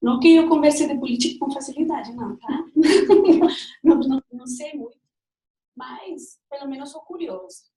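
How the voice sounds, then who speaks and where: A Colombian woman speaking Portuguese with a Spanish accent, giving a reflective, personal talk with frequent pauses and restarts. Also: sits high, dark tone. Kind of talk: lecture-style talk. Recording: distant mic in an echoey room.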